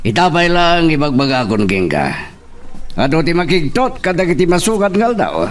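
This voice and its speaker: A man's voice: on the high side, very animated, moderate pace. A man acting a reassuring yet plotting small-town mayor in an Ilocano audio drama, with an Ilocano accent. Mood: reassuring, assured. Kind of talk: amateur Ilocano radio-style drama acting.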